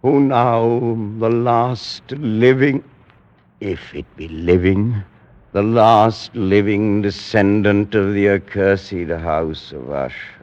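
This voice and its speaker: An actor playing the tormented, half-mad last heir of an accursed house, with a feverish, theatrical, brooding delivery in classic radio drama style. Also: male voice, lowish voice, slow pace, a little mellow, muffled recording. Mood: haunted despair.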